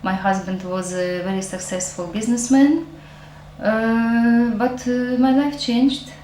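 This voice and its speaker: Slow-spoken middle-aged woman from Ukraine. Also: fairly high pitch, steady tone, mellow, a little hiss or hum. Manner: slow, halting, many fillers. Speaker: network marketing distributor. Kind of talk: personal testimonial. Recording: roomy echo, faint music.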